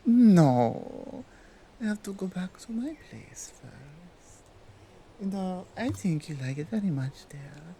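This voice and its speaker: A woman voicing a dominant yet tender female Krampus in an ASMR roleplay, with a Nordic accent and halting, deliberate broken English. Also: on the low side, animated, warm tone. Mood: coaxing, gentle. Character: dominant, tender, seductive female Krampus, otherworldly gift-bringer.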